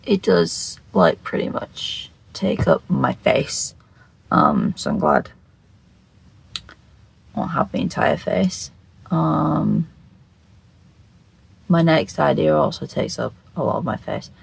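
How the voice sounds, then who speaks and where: A makeup video creator with a croaky, just-woken voice, chatting casually to camera in a scatterbrained, rambling, sweary way and repeating phrases. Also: mid-range voice, animated, slow pace, long pauses, rough recording.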